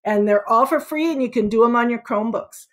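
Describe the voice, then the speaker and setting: A woman speaking as a school librarian, in an expressive read-aloud style for children. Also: a little high, a little fast.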